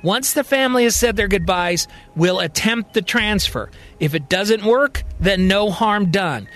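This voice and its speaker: A man's voice: high voice, expressive, measured pace, a bit bright, faint music behind, pitched above the performer's own voice. A man voicing a grave, commanding male president in a sci-fi audio story, speaking with insistent authority. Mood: resolute. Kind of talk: acted dialogue in audio drama storytelling.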